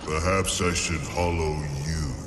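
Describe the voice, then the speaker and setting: A man playing a solemn, imperious supernatural underworld lord in a video game, speaking in a grand, deliberate, ominous way. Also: a bass voice, fairly even intonation. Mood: contemptuous. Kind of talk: theatrical video game acting. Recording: echoey long reverb over music.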